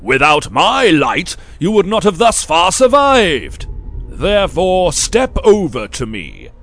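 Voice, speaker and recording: A man voicing a towering, sunflower-like male elder who speaks in grand, coaxing proclamations with a croaking edge. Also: very animated, slow, soft background music. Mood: grandiose. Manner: booming, theatrical proclamations. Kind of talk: storybook reading.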